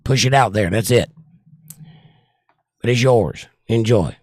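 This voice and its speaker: A man's voice: medium pitch, takes it slowly, dry recording, clean studio sound. An older man, casually reading viewer comments aloud on his livestream and answering them. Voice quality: voice worn from years of trouble. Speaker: livestream host reading chat.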